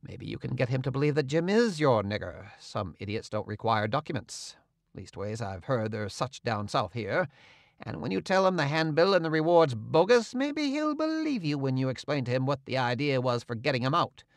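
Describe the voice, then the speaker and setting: A gruff, bossy swindler in a storybook reading, as a male narrator puts him on, with a lively, swooping voice that turns low and threatening. The sound is dry and close. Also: normal speed, dry recording. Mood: commanding. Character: scheming middle-aged male swindler, gruff and menacing.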